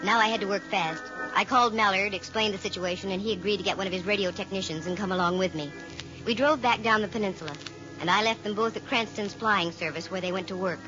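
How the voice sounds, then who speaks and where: A woman playing a brisk, sharp-witted private detective, acting and narrating in the style of 1940s radio. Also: mid-range voice, natural voice. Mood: wry, narrating. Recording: muffled vintage radio recording. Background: music.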